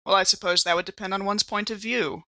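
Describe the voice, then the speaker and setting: A woman's voice: mid-range voice, fairly even intonation, fast talker, sharp tone. A woman playing a tabletop role-playing game, asking questions casually and speaking for her character in her own voice.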